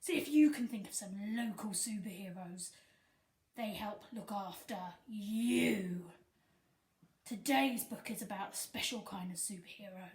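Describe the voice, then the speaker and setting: A woman teacher reading a picture book aloud to young children, with animated, sing-song emphasis. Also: medium pitch, measured pace. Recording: echoey room.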